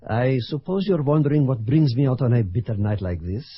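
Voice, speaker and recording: A man's voice: mid-range voice, lively intonation, brisk, breathy edge, no echo. A man playing a cold, menacing Soviet commissar. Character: stern Soviet commissar, middle-aged man, cold and ideological. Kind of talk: old-time radio drama acting. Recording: dull lo-fi radio recording.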